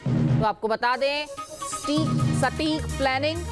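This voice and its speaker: A woman's voice: high-pitched, takes it slowly. A woman news anchor, presenting live news in an emphatic, dramatic and wordy manner. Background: news music bed.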